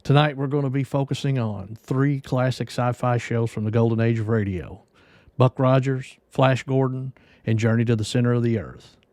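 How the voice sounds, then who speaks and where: A man hosting a podcast, giving a relaxed, friendly introduction. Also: medium pitch, moderate pace, no room sound. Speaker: host.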